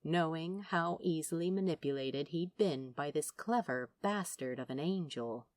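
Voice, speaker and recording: A woman reading fiction aloud as a narrator, dramatic and wry, with deliberate phrasing. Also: low voice, no echo. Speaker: audiobook-style narrator. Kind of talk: expressive scripted fiction narration.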